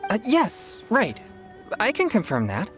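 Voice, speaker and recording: A woman's voice: medium pitch, huge pitch swings, unhurried, music in the background. A woman voicing an easygoing, loyal and slightly flustered young character in a video game.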